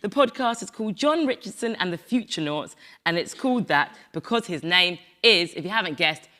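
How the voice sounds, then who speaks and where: A woman's voice: fairly low pitch, lively intonation, brisk, pushed and bright. A woman hosting a panel discussion, introducing guests in a chatty way and laughing often. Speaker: panel host. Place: event venue in Glasgow.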